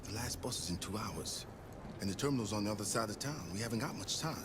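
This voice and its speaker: An actor playing a gentle, protective male android companion in a game, serious and subdued. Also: fairly low pitch, expressive, fast, background noise, lightly acted. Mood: hushed concern.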